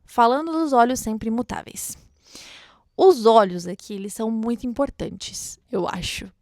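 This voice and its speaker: A woman's voice: a little high, lively intonation, moderate pace, a little mellow, no room sound, studio quality. A Brazilian woman hosting a book read-along, commenting casually and expressively like a chatty, gushing fan.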